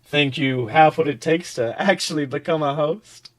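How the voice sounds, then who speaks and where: Give the voice of a suave, smirking host club leader, acted out by a man reading fan fiction. He is a young male charmer with a high voice, speaking smoothly and theatrically with dramatic pauses. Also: close to an everyday voice. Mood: musing.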